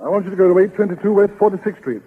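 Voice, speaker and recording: A man playing a brisk, commanding, secretive lawyer giving orders in a 1930s radio drama. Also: high voice, slightly rough. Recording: muffled, crackly 1930s radio broadcast.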